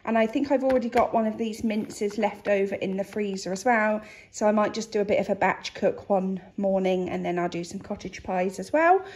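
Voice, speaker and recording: A woman's voice: medium pitch, fairly quick, hardly any pauses. A woman, casually chatting through her grocery haul in her kitchen. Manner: chatty, relaxed, with frequent ums. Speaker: vlogger showing a grocery haul. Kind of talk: casual chat to camera. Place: kitchen, indoors.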